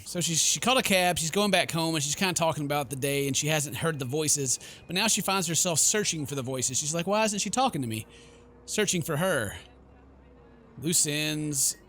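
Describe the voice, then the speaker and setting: A man's voice: a little high, pushed and bright. Goofy man doing slangy game commentary. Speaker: gaming YouTuber. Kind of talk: let's play reading and joking commentary.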